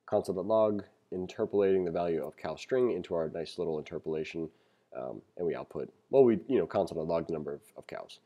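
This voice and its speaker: A man with a fairly low, very mellow and relaxed voice leads a read-along lesson. He sounds like a teacher, conversational and a little wry as he moves between reading and commenting. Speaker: teacher reading a programming textbook aloud with commentary.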